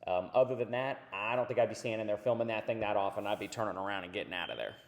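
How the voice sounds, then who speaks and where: Hoarse, raspy man with tunnel-like echo. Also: fairly low pitch, lively intonation, very fast. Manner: rambling, laid-back, says dude and man. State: hoarse after illness. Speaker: channel host. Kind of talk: casual commentary on clips.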